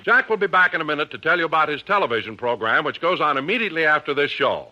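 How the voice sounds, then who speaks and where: A man's voice: a little high, animated, fast talker, lo-fi sound, noisy background. A man announcing in scripted 1950s radio commercial style, with an emphatic sales delivery.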